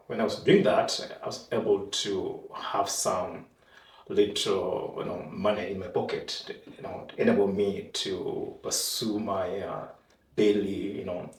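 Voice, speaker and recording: Panel guest: middle-aged man, low and unhurried, recorded in a roomy space. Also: fairly even intonation, a little mellow. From Uganda. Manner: slow, halting, filled with "you know". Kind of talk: panel discussion. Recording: roomy, distant mic.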